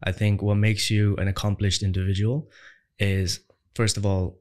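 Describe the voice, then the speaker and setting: A man's voice: on the low side, little pitch movement, moderate pace, a little mellow. A young man from Ireland, a business founder, answering interview questions in an emphatic, conversational way that builds into punchy rhetorical questions. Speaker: entrepreneur guest.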